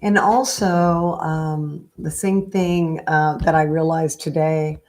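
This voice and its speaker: A middle-aged woman in a gentle read-aloud style with casual, chatty asides and a halting, unhurried manner. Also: sits low, fairly even intonation. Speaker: spiritual healer reading a picture book aloud.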